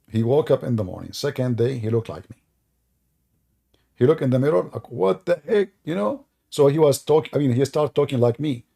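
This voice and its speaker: A man's voice: medium pitch, gravelly, warm tone. A man with an Arabic accent, a native Arabic speaker, hosting a live stream in a sarcastic, teasing manner. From Middle East. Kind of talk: mocking commentary on a live stream.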